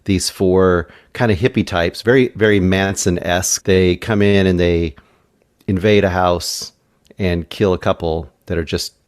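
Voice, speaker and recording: Low-voiced man casually reviewing a film. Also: steady tone, unhurried, dry recording, studio quality. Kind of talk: casual movie review chat.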